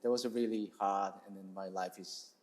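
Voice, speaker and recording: A man's voice: fairly low pitch, fairly even intonation, brisk, darkish tone. A man from South Korea with a Korean accent, talking casually as a chef being interviewed, in hesitant, non-native English phrasing. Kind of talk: casual interview, telling their story.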